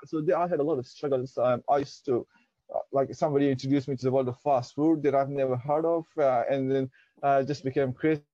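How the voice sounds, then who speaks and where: A man's voice: mid-range voice, steady tone, a little fast, dark tone, dry recording, highs cut off. A young man from Pakistan whose first language is Dari, telling his story as an interview guest, hesitant with many fillers. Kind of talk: reflective personal storytelling.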